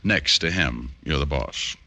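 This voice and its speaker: An American actor playing a tough, wry, stubborn private detective in a 1950s-style radio drama, with needling delivery. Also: male voice, extremely low pitch, unhurried, pushed and bright, full character voice. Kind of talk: 1950s radio detective acting with first-person narration. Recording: old radio recording with hiss, clicks.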